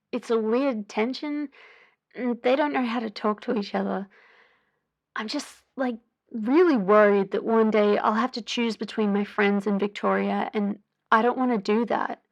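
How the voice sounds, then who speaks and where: A woman playing an anxious, earnest young caller to a radio advice show in an audio drama, chatty and hesitant. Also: a little high. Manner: hesitant, chatty, with filler words.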